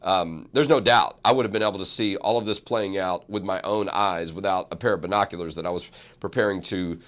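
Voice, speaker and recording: Hoarse host with a cold, chatty and digressive, slightly low voice. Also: male voice, a little fast, fairly bright tone. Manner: rambling, self-correcting, frequent asides. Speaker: talk show host. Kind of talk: casual talk show chat and monologue.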